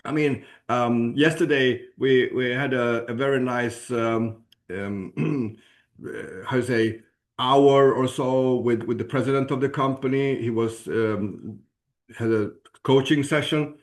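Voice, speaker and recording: A man from Sweden with a Swedish accent gives an informal promotional presentation, haltingly searching for words and clearing his throat. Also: mid-range voice, fairly even intonation. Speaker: presenter at a business opportunity webinar.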